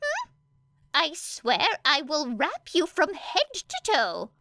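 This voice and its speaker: A woman voicing a fussy, scowling old lady nurse with a sharp, exasperated manner in an audiobook. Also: high voice, huge pitch swings, takes it slowly, no room sound, voice pushed up, exaggerated voice. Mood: scolding. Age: older. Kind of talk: audiobook character acting.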